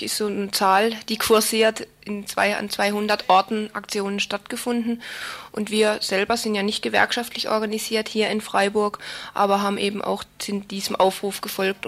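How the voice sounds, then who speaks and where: Woman with even, mid-pitched voice. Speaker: social worker being interviewed. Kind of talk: informal spoken interview answer.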